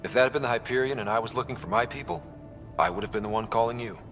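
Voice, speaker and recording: A determined young man leading a space crew as acted for a game. His mid-pitched voice is earnest and decisive, with prominent music behind. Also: very fast, a bit bright, lightly acted. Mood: reflective. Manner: decisive, sincere. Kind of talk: video game cutscene acting.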